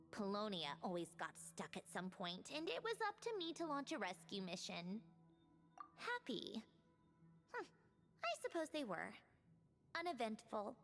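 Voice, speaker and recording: An actress voicing a calm, wistful young woman in a fantasy game, speaking in a soft, reflective, measured way. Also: mid-range voice. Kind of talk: game voice acting.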